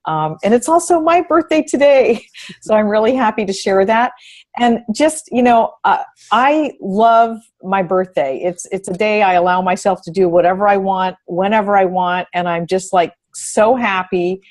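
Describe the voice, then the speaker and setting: A woman speaks casually as a member of a panel. She has a medium-pitched voice and an effusive manner. She talks off the cuff and repeats phrases to stress them. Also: moderate pace. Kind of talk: casual unscripted panel remarks.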